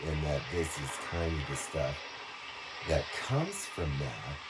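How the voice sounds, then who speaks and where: A man talking through a rambling monologue, halting, restarting and trailing off as he goes. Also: a bass voice, big pitch swings, very noisy. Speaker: video monologue speaker.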